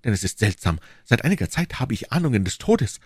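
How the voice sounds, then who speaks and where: A man's voice: mid-range voice, very animated, fairly quick, darkish tone, breathy edge, no echo, clean studio sound, voice pushed up. A man voicing a passionate, lovestruck English duke from a classic novel, pleading and fatalistic in an ardent, courtly, declamatory manner.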